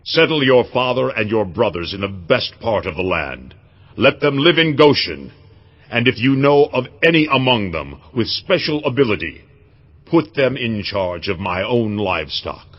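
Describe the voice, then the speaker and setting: A man's voice: medium pitch, very animated, normal speed. An actor playing a commanding, magnanimous king of Egypt, regal and declamatory in an audio Bible drama. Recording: dull lo-fi audio.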